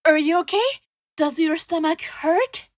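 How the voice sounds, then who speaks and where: A woman's voice: very high voice, unhurried, no echo, studio quality. A woman playing an earnest, kind-hearted, outspoken young woman investigator in an audio drama. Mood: worried. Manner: expressive, earnest.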